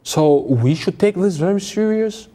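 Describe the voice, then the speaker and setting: A man's voice: sits high, expressive, a little slow, warm tone. A man on a television panel, speaking earnestly and urging his audience to stay informed. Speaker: panel guest. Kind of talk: panel discussion.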